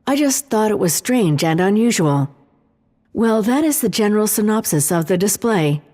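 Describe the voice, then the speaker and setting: A mellow female artificial intelligence acted by a woman speaks inside a long, hall-like reverb. She is calm and precise, laying out analysis in measured, formal sentences, as in a sci-fi audio drama. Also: mid-range voice, lightly acted. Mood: calm, helpful.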